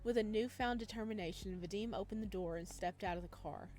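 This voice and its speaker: A woman's voice: medium pitch, brisk, a little mellow. Woman reading a story aloud over music. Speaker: let's player reading a visual novel aloud. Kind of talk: expressive narration with casual reactions.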